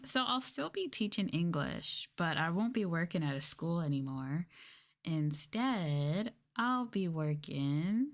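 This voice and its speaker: A young woman with a low voice talks softly in a casual ASMR stream. She chats candidly, with the odd swear word slipped in. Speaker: VTuber streamer. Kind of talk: soft-spoken ASMR casual chat.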